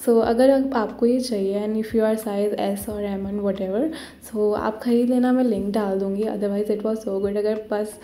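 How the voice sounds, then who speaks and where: A young woman with an Indian English accent, chatting casually as a vlogger over a day-in-my-life voice-over, giggly and relaxed. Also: medium pitch, takes it slowly. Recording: voice-over with loud background music.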